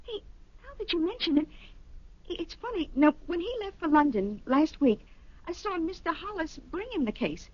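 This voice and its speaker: An actress playing a chatty, eager, slightly scatterbrained office secretary in a 1970s radio drama. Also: female voice, extremely high pitch, very animated, normal speed, no echo. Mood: musing, recalling. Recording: dull lo-fi old radio recording.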